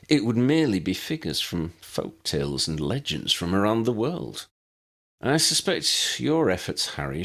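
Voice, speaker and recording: A man's voice: lowish voice, gravelly, fairly bright tone, heavily acted. Man voicing a wordy, wry, formal advisor. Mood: thoughtful.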